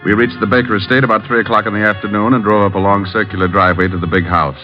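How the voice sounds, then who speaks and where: A man's voice: lowish voice, little pitch movement, rapid-fire, lo-fi sound, soft background music, natural voice. An American man playing a breezy, teasing, cool-headed private detective in an old-time radio drama. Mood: wry narration. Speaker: lead actor and narrator. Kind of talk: 1940s-50s radio detective acting.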